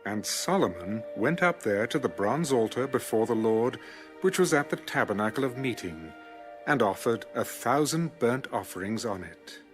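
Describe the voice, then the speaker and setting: A man narrating scripture in a measured, formal, solemn storytelling style. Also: mid-range voice, expressive, soft background music.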